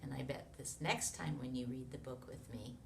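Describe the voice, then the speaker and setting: An American woman reads a picture book aloud to young children in an expressive way, with sound effects, questions and hushed asides to her listeners. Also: very deep voice, moderate pace, vocal fry. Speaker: children's read-along reader. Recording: roomy, distant mic with noise.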